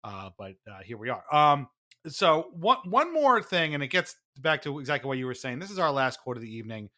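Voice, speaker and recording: A man's voice: mid-range voice, big pitch swings, a little fast, sharp tone. A man co-hosting a sports podcast in a casual, wry and amused manner. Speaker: podcast co-host. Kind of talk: casual hockey fan chat with mock-dramatic readings.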